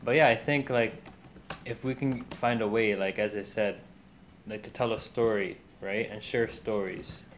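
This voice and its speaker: A man's voice: lowish voice, steady tone, normal speed, slight background noise. A man hosting a casual conversation, chatty and full of "like" and "right?".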